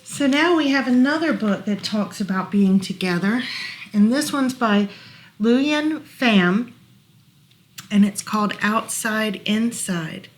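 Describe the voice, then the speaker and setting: A woman reading picture books aloud to small children as a storytime librarian, gently explaining as she goes. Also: a little high, expressive. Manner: gentle, unhurried, explaining pictures to little listeners.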